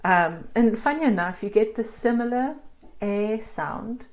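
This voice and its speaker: Slow-talking South African woman teacher. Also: a little high, lively intonation. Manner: demonstrates sounds slowly, laughs at herself. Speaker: language teacher. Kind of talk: casual spoken lesson.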